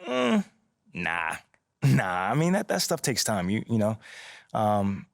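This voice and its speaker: A man's voice: lowish voice, huge pitch swings, slow, vocal fry, no echo, clean studio sound, heavily acted. A man playing an easygoing young American basketball player in a video game, chatting casually and politely. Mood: relaxed, confident. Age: young adult. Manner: laid-back, friendly, informal slangy talk. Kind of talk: casual conversational video game cutscene acting.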